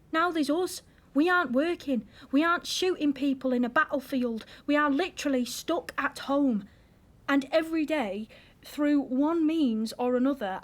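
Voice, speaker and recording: A woman's voice: sits high, lightly acted. A woman acting in an audio drama as an emotional, earnest young girlfriend with a British accent, frustrated and hurt. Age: young adult.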